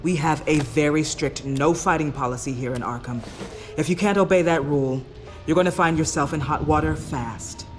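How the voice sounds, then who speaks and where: An actress playing a composed, firm female head psychiatrist in a video game, with a measured, authoritative manner. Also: deep voice, close to an everyday voice. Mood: stern. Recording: music behind the voice.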